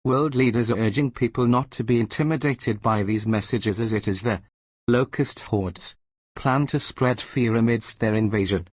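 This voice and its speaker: A man reading a formal emergency broadcast announcement in a measured, solemn manner. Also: mid-range voice, no echo.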